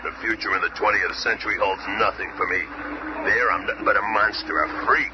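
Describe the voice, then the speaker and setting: An actor playing a gruff, brash rock monster turned pirate captain in a radio drama, with blunt slangy tough-guy talk and a gravelly voice. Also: lowish voice, steady tone, brisk, natural voice. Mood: defiant. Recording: muffled, very noisy old radio recording.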